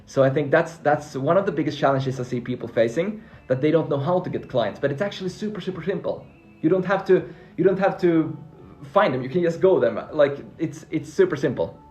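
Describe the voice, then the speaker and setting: A man's voice: mid-range voice, a little mellow. Upbeat Finnish man reading chat questions. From Finland. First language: Swedish. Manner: upbeat, repeats words and restarts while reading viewer comments. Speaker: freelancing coach answering live chat questions. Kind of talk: casual live stream Q&A. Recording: faint background music.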